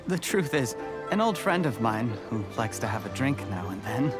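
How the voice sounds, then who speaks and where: A man's voice: mid-range voice, sing-song, moderate pace, lightly acted. An actor playing a polite, genial, cultured male customer. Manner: polite, friendly. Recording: game audio over music.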